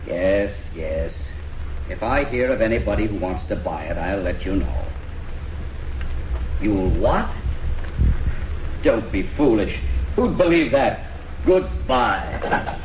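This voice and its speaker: A man's voice: fairly low pitch, lively intonation, slow, pauses often. A man playing an agitated young schemer, defensive and curt, in a 1940s radio crime drama. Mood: curt, dismissive. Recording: roomy, dull, noisy old radio recording.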